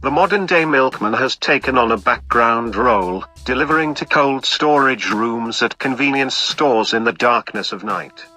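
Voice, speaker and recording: Man, mid-range pitch, crisp forceful tone, scripted documentary radio narration, light music behind. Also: animated, moderate pace, hardly any pauses.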